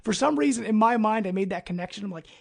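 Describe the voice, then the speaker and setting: Guy hosting a podcast, high voice, super creaky, rambly and into it. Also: lively intonation, quick pace, darkish tone. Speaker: podcast host. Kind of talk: casual podcast chat.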